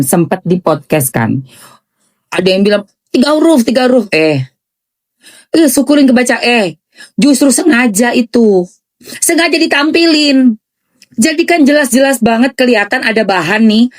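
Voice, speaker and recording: A woman with an eastern Indonesian accent giving casual, sarcastic gossip commentary, repeating phrases for mockery. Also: fairly high pitch.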